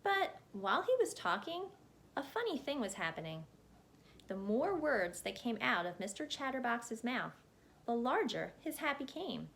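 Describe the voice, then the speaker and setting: A woman reading a children's picture book aloud as a storyteller, in an expressive narrating style. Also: a little high, normal speed, vocal fry.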